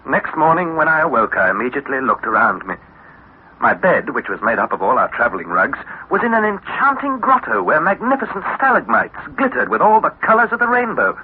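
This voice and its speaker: An actor playing an eager young English nephew, narrating and speaking dramatically in the style of 1960s radio adventure. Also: male voice, on the high side, fairly quick, breathy edge, heavily acted. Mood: wondering. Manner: dramatic, articulate, excitable. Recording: tinny, noisy vintage radio recording.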